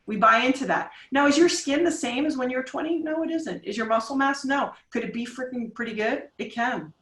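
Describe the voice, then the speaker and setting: A woman in her forties, a podcast guest, talking casually with emphatic, coaching punch and quick rhetorical questions. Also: high-pitched, a bit bright, recorded from a distance. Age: 40s. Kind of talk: casual interview talk. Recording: roomy sound, voice off-mic.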